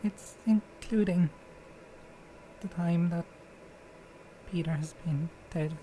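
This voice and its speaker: A woman playing a distressed, defensive murder suspect under questioning in an audio drama, speaking haltingly. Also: on the low side, warm tone, slight background noise, rough recording. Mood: nervous, defensive. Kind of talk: dramatic interrogation acting.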